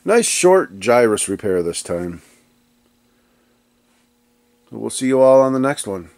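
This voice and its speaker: A man with a stuffed-up, congested voice talks through an arcade board repair in his cluttered electronics workshop. His speech is relaxed and rambling, with restarts mid-sentence. The sound is dry and close, with a mid-range pitch. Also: takes it slowly, vocal fry, no room sound. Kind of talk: casual workshop vlog narration.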